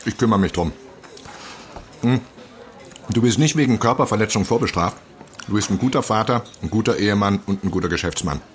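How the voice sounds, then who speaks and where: A man acting in a radio play as a confident lawyer friend, measured, wry and reassuring. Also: lowish voice, close to an everyday voice. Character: assured male lawyer friend, coaching and reassuring.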